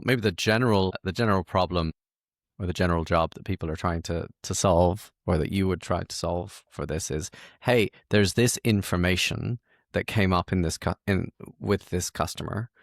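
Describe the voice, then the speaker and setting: A man hosts a casual interview podcast in a lower-pitched, creaky voice. He talks informally, with a bantering touch. The sound is close and dry. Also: no echo. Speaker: podcast host.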